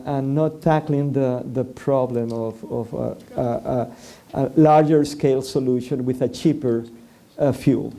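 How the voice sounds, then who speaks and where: Hesitant Venezuelan man with a dark tone. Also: on the high side. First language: Spanish. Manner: hesitant, many fillers and restarts. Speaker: panelist. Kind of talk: public forum discussion.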